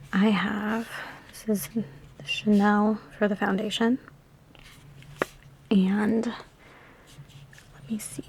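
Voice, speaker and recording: A woman in an ASMR roleplay speaking in a soft, breathy whisper mixed with hushed talk, with a sassy, teasing, chatty big-sister manner, close to the microphone. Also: mid-range voice, a little hiss or hum. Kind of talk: ASMR personal attention roleplay.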